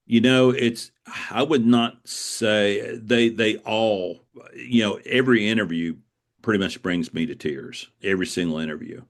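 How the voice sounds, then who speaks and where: A man with a deep, low voice talks in a reflective interview style. He is earnest and halting, circling back to repeat phrases as he tells his stories. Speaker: author being interviewed. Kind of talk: reflective conversational storytelling.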